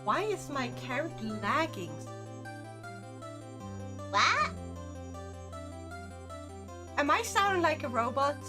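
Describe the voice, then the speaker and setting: A woman's voice: sits high, sing-song, takes it slowly, pauses often. A young woman streaming a game, chatting casually and bubbly with her viewers on a desk microphone, with game music behind her. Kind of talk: casual live-stream chat.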